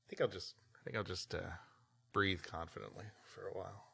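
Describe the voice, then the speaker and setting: A man's voice: sits low, steady tone, fairly quick, vocal fry, pushed and bright, husky. A man co-hosting a podcast, mixing read-aloud history with wry, rambling banter. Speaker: podcast co-host. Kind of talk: reading a history story aloud with joking banter.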